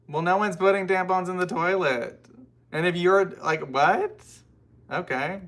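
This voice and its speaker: A man's voice: sits high, a little slow, a bit bright. An American man hosting a casual livestream, chatting informally and rambling with frequent asides as he responds to viewers. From United States. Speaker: livestream host. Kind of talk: casual live chat, answering and reading out viewer comments.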